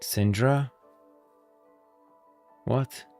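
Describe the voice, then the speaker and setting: A man's voice: mid-range voice, extremely slow, mellow, faint music behind. A man streaming casual game lore commentary, thinking aloud informally into a close microphone.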